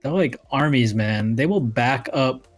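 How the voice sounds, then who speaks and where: A man's voice: medium pitch, animated, a little slow, soft background music. A man from the United States talking in a casual, slangy way. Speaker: talk show participant. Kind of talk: casual chat.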